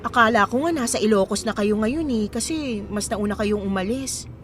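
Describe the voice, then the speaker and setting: A woman acts out a kind, curious young Filipina in a radio drama. Her voice is fairly high, and she talks casually with expressive reactions. There is faint ambient noise behind her. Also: normal speed, close to an everyday voice. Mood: curious, friendly.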